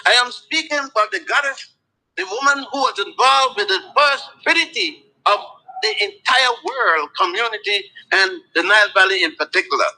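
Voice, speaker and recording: A man giving a spoken lecture in a deliberate, emphatic way, letting his pitch fall at the ends of phrases. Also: lively intonation, pushed and bright, rough recording.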